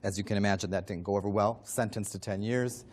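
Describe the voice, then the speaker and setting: A man's voice: fairly low pitch, steady tone, quick pace, a little mellow. A man moderating a panel, asking questions plainly with frequent ums.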